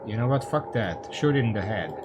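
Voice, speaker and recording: A man's voice: fairly low pitch, lively intonation, brisk, heavy background noise. Rambling man streaming a game. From Hungary. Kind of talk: casual live gaming commentary, chatting with viewers.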